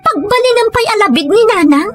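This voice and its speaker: Woman acting a high-voiced, reproachful Ilocano wife. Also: animated, fairly quick, over music. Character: dismayed hard-working wife and daughter, reproachful, phoning home. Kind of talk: Ilocano comedy drama acting.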